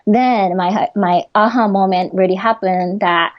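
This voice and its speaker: A woman's voice: medium pitch, steady tone, measured pace, highs cut off. A young adult woman with a Japanese accent, a guest in a podcast interview, talking earnestly with frequent fillers and laughs.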